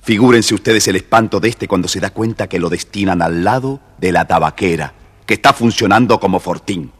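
Deep, husky male storyteller. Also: fairly quick. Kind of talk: scripted children's story narration.

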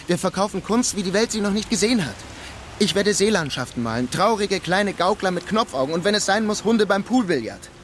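A man's voice: a little high, a little hiss or hum, close to an everyday voice. An actor playing a struggling artist who tells his own crime story in a radio drama. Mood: excited, pleading.

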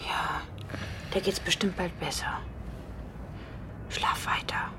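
An actress playing a weary, protective woman in a radio play, speaking in a hushed, guarded, intimate way. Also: lowish voice, steady tone, slow, whispered. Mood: soothing. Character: weary, protective adult woman caring for a child. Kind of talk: radio play acting, intimate inner monologue.

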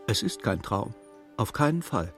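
An actor playing a sober storyteller, narrating a literary radio play in a husky, measured, dramatic way. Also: male voice, lowish voice, big pitch swings, soft background music. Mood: wry, measured. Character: sober male storyteller following a troubled clerk.

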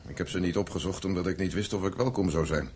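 An actor in a radio drama playing a stern, embittered old grandfather who speaks in a formal, measured, blunt way. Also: low voice, a little mellow, a little hiss or hum. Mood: resigned, polite. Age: older.

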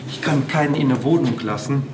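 An older man talking informally as an artist showing his work, halting and repetitive, often stammering into restarts. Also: medium pitch, heavy background noise. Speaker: artist interviewee showing own works. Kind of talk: rambling informal talk. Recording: echoey room, music underneath.

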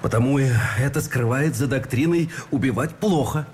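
An actor playing an earnest Russian army officer in a radio play, philosophizing and arguing through rhetorical questions. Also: male voice, mid-range voice, normal speed, a little mellow, slight background noise, close to an everyday voice. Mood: earnest, persuasive.

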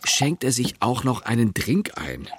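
An actor playing a wry husband who tells the story in the first person in a radio play, confiding and intimate. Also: male voice, mid-range voice, very animated, measured pace, slight background noise. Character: wry secular husband narrating an evening with friends. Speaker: narrator and character in a radio play.

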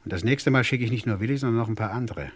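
An actor playing a menacing gangster boss in a German crime radio play, mock-friendly, condescending and threatening. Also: male voice, mid-range voice, rapid-fire, natural voice. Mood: threatening, contemptuous. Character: menacing, sneering gangster boss owed money.

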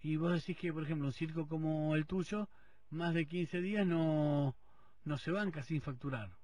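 A man's voice: fairly high pitch, moderate pace, a little mellow, no echo, far from the mic. A man with a Rioplatense accent hosting a morning radio show, interviewing a caller in a joking, teasing way. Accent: Rioplatense Spanish. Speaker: radio host. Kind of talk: casual phone interview. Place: radio studio.

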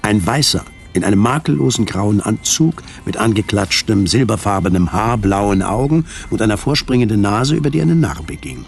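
A man narrating a scripted radio drama in a measured, precise storytelling manner. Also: on the low side, animated, creaky. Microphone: studio microphone. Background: music.

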